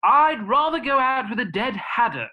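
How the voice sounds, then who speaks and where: A woman's voice: a little high, animated, moderate pace, phone-quality sound, close to an everyday voice. A woman playing a mean, pompous male landlord squire in a radio pantomime, scornful and declamatory. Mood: disgusted. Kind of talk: radio pantomime villain acting.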